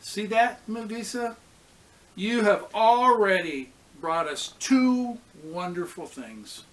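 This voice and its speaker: A man's voice: high-pitched, expressive, slow pace, a bit bright, pitched above the performer's own voice, full character voice, rough recording. A man voicing an eager, affectionate young village girl in a storybook, with wide-eyed, tender exclamations. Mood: pleased, admiring.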